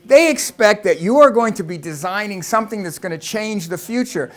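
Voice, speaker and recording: An American man with a fairly high voice gives a talk to a room of listeners. He explains step by step and often poses rhetorical questions. His tone stays casual and conversational. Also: lively intonation, fairly quick. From Los Angeles, United States. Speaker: lecturer. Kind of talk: presentation talk to an audience.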